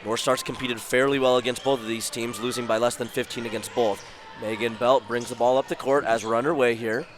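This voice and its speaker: A man doing play-by-play at a basketball game, his voice sore and worn from illness, calling the action in short brisk bursts. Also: mid-range voice, fairly even intonation. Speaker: play-by-play announcer. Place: school gym courtside. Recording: loud crowd and gym noise behind.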